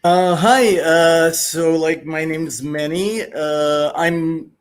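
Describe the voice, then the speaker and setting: Hesitant Israeli man, slow speech. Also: a little high, fairly even intonation, clean tone. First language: Hebrew. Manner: hesitant, many fillers. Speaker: debater. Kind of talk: online debate.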